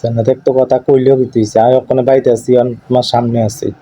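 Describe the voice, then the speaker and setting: A man's voice: medium pitch, little pitch movement, fast talker, dark tone. A man with an eastern Bengali dialect arguing casually on a recorded call, insistently repeating his phrases. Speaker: YouTube video creator. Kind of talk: casual domestic argument on a call. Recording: dull lo-fi phone call recording, faint noise.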